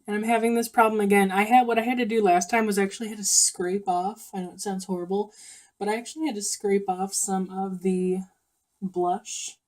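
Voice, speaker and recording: A woman's voice: medium pitch, fairly even intonation, fairly quick, a little mellow. A young woman with a slight cold, chatting casually through a makeup tutorial with lots of ums and self-corrections. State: sniffly, coming down with a cold. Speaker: beauty vlogger.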